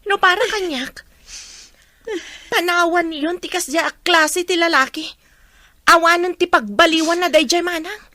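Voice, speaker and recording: A frank young woman in a Filipino radio drama. Her voice is very high and sharp, close and dry, with an emphatic, dismissive delivery. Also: normal speed, long pauses, no echo. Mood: disdainful. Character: frank, disdainful young woman pestered by unwanted suitor.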